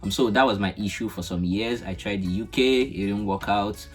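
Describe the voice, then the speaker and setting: A man's voice: fairly low pitch, moderate pace, soft background music. A young man with a Nigerian accent, a guest in a casual chat, explaining things earnestly step by step. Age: young adult.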